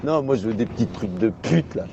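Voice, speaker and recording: A man on a CB radio channel, taunting another user and laughing at his own jokes. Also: mid-range voice. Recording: dull lo-fi CB radio with hiss.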